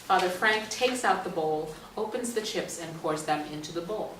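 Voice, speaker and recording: Woman, slightly low pitch, scripted narration of stage directions, distant mic, roomy echo. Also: moderate pace. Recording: roomy distant stage recording, faint noise.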